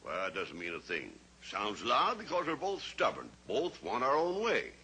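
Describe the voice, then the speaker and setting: An actor playing a blunt, stubborn rancher and father who talks gruffly and plainly in a 1950s radio drama. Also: mid-range voice, huge pitch swings, moderate pace, pushed and bright, exaggerated voice. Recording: old radio recording with faint hiss.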